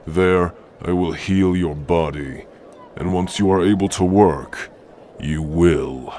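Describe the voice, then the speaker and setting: Man acting deep, slow, stern orc warrior-healer. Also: warm tone, slight background noise. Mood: stern, commanding. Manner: slow, formal, commanding. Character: stern, gruffly caring male orc warrior-healer, chief's son. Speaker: roleplay voice actor. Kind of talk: ASMR roleplay.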